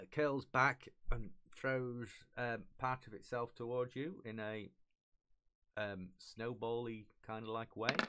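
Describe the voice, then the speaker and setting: A middle-aged man with a smooth, clean voice at an ordinary male pitch runs a tabletop game. He narrates off the cuff, pausing and humming filler syllables as he works out rules and rolls. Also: sharp tone. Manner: halting, hums filler syllables while thinking. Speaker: game master. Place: small indoor room, online video call.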